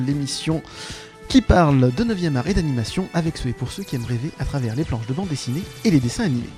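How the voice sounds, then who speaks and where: A man hosting a live show in a playful, mock-grandiose presenting style. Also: a little high. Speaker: podcast host. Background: background music.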